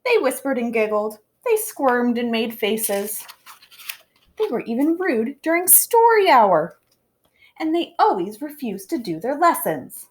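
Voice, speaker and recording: A woman reading a children's picture book aloud in an expressive narrating style. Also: fairly high pitch, measured pace. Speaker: storybook reader.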